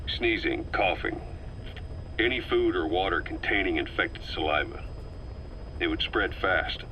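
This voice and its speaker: A tough, pragmatic military officer for a video game. He has a very deep, bright-edged voice and a blunt, matter-of-fact delivery. The taped recording carries faint noise. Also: lively intonation, unhurried, heavily acted, low-quality recording. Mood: probing, grim. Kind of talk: dramatic video game voice acting.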